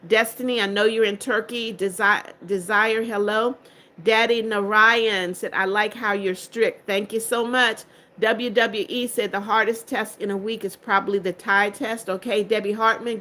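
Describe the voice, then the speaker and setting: Bright-voiced strict older woman. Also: mid-range voice, fairly even intonation, normal speed. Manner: strict, firm about blocking spammers. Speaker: livestream host. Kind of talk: reading out live chat comments.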